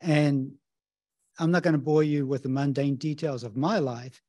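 A man with a New Zealand accent gives a relaxed conference talk, tossing in quick asides and tag questions. His voice sits fairly high for a man, and the sound is close and dry. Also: dry recording. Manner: casual, chatty, tag questions. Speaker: professor of epidemiology, presenter. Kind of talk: conference presentation.

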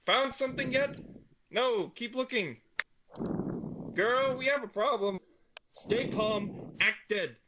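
A man puts on a pair of sneaky kitchen cockroaches plotting in hushed, conspiratorial tones. His voice is fairly high, and a noisy hiss sits beneath it. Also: slow, long pauses, highs cut off.

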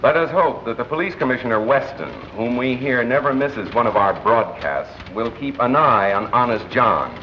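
An actor playing a wry, measured male radio crime newscaster in an old-time drama, with dry wit. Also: mid-range voice, expressive, lightly acted. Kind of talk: old-time crime drama acting with broadcast announcer delivery. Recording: dull, noisy old film-era soundtrack.

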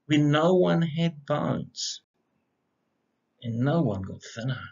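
A middle-aged man reading a rhyming children's picture book aloud in an expressive, measured storytelling manner. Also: fairly high pitch, creaky. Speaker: storybook reader, educator. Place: indoor room. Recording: slightly dull, lo-fi webcam sound.

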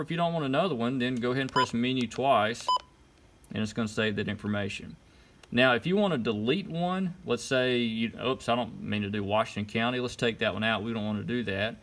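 A man giving an informal how-to demonstration, talking through each step in short, casual instructions. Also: mid-range voice. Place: indoors at a desk. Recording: clear background noise, clicks and beeps. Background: button clicks and electronic beeps.

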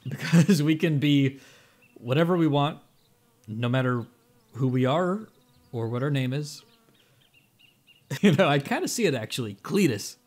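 An actor playing a chatty, eager, encouraging oddball in an audio drama, stammering and effusive. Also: male voice, medium pitch, lively intonation, unhurried, long pauses, a little mellow.

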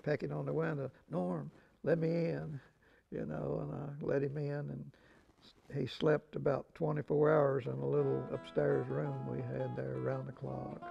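Very creaky older Southern man, soft-spoken. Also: fairly high pitch, little pitch movement, normal speed, lo-fi sound, faint music behind. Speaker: musician interviewee. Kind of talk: casual storytelling.

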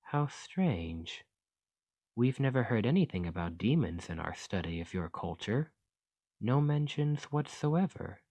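A man playing a gentle, curious fantasy archaeologist in an ASMR roleplay, speaking in a formal, musing, articulate way. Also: fairly low pitch, big pitch swings, a little slow, husky, dry recording, full character voice. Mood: kindly, earnest.

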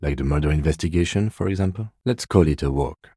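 Restrained police detective, middle-aged, very low mellow voice, dry formal delivery, video game dialogue. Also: male voice, husky, no room sound, exaggerated voice. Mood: calm, formal. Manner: measured, formal, restrained, dryly polite. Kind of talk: dramatic game dialogue, separate voice lines.

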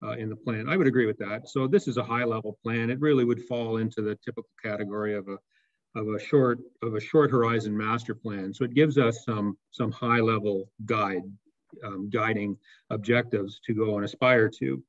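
A man's voice: fairly low pitch, fairly even intonation, a little fast. A man on staff answering council questions on a video call, explaining step by step with frequent restarts and fillers. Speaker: municipal staff member. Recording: dull lo-fi video call audio.

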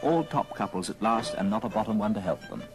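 A man's voice: on the low side, animated, fast. A man narrating a classic story in a dramatic old radio style. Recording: old record with music underneath. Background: music.

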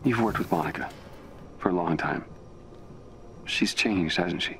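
An actor playing a brooding, anxious scientist in a video game, speaking in a hesitant, halting way. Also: male voice, sits low, vocal fry, fairly bright tone, faint music behind, full character voice. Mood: uneasy, brooding. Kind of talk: video game cutscene acting.

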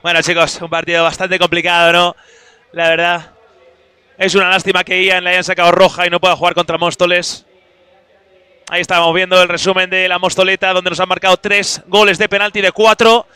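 A man's voice: high-pitched, flat delivery, a little fast, bright, forceful tone, smooth, dry recording. A young man chatting casually to his stream audience into a headset mic in a studio booth, teasing and chatty.

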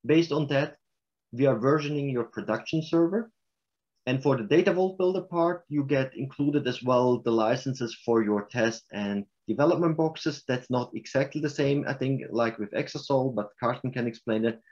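A man's voice: mid-range voice, a little mellow, highs cut off. Swiss German man presenting a webinar. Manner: explanatory, halting with restarts. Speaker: software vendor presenter.

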